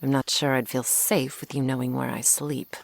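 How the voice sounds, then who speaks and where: A woman playing a teasing, menacing century-old female vampire in an intimate ASMR roleplay, sardonic and unhurried, sometimes slipping toward a whisper. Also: a bass voice, flat delivery, vocal fry, full character voice. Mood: sly, teasing.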